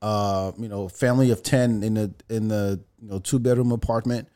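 French-native guy on a podcast, chatty and animated, keeps restarting sentences. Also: lowish voice, normal speed, smooth. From France. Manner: animated, restarts phrases, laughs easily. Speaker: podcast guest. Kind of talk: casual storytelling interview.

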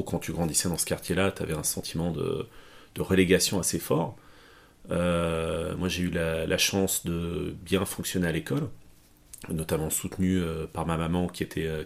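A man's voice: low voice, vocal fry. A man born in Portugal, a guest in an interview, talking hesitantly with many fillers and restarts. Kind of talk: conversational interview.

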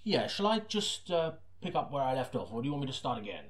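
A man's voice: medium pitch, sing-song, fast talker, natural voice. A man playing a defensive, unruffled voice actor chatting casually in a studio between takes. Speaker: audiobook narrator between takes. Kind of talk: casual scripted comedy dialogue.

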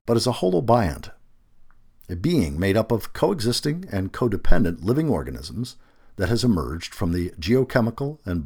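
A man with a husky voice reading a scripted article aloud, phrasing deliberately and stressing key words. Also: on the low side, a little mellow. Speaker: narrator reading a magazine feature aloud.

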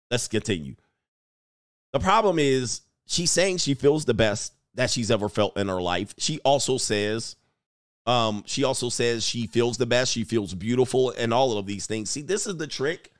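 A man's voice: mid-range voice, sing-song, normal speed, dry recording, studio quality. A man giving casual, rambling reaction commentary, joking and trailing off mid-thought. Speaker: YouTube commentator.

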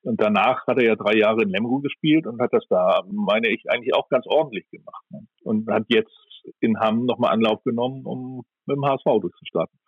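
A man's voice: on the low side, brisk, husky, dry recording. A man giving conversational sports analysis in a considered way, thinking aloud as he weighs his judgments. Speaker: sports pundit. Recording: dull, band-limited audio.

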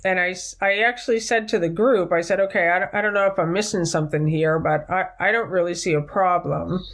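Low-voiced woman telling a story. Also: a little fast, a bit bright. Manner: earnest, tells anecdotes with acted-out quotes. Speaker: podcast co-host. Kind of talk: conversational storytelling.

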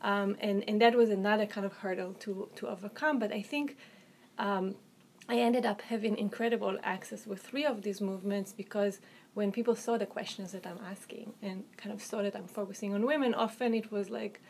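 A woman with an Israeli accent, an author answering interview questions about her research in an articulate, thoughtful way, often pausing with "um" and restarting phrases. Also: mid-range voice, fairly even intonation, a little mellow, slight background noise. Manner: articulate, hedging with um and you know, frequent restarts.

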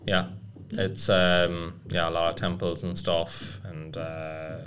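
Hesitant, deep-voiced young man. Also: level tone, slow, a bit bright, slight background noise. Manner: hesitant, full of "uh" and restarts, dry humor. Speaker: podcast co-host. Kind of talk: casual chat.

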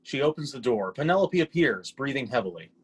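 A man's voice: medium pitch, very animated, brisk, breathy edge. A man reading stage directions as scripted narration for a readers theatre play.

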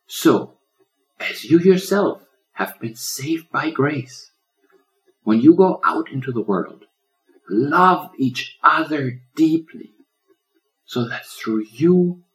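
A man voicing a gentle old lion in a storybook, solemn and loving like a shepherd, with a preaching delivery. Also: mid-range voice, animated, slow, voice pushed up, rough recording.

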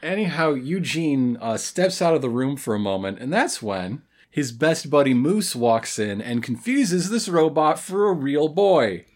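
A woman podcast co-host with a fairly low voice and very lively intonation. She recaps in a casual, comedic style, dropping jokey asides and puns as she goes. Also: unhurried.

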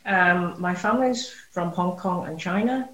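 A woman's voice: fairly low pitch, unhurried, echoey room, low-quality recording. A woman with a Yorkshire accent from England, chatting as an interview guest, with plenty of ums and laughs between remarks. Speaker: guest investigator. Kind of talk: casual interview chat.

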